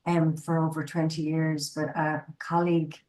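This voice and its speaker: A low-voiced middle-aged woman from Ireland talks over a video call, her pitch staying flat and even. She speaks slowly and reflectively, pausing often with ums as she works through her thoughts in a webinar panel discussion. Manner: hesitant, reflective, many ums.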